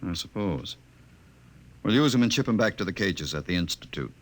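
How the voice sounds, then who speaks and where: An actor playing a haughty, domineering official in a 1950s radio drama, imperious, pompous and stiff. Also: male voice, low voice, lively intonation, brisk. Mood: disdainful. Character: haughty, domineering man, director of emotional control.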